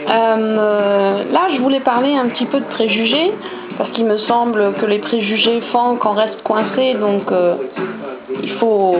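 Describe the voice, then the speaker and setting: Young woman, hesitant casual storyteller, lo-fi recording with background music. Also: medium pitch. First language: German. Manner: hesitant, many fillers and restarts. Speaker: vlogger.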